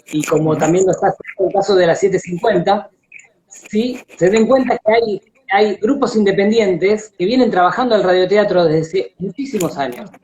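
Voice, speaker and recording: An Argentine man hosting a casual, reflective live conversation over a video call, talking haltingly with frequent tag questions. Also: high voice, darkish tone. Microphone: live social media video call. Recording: dull lo-fi internet call audio.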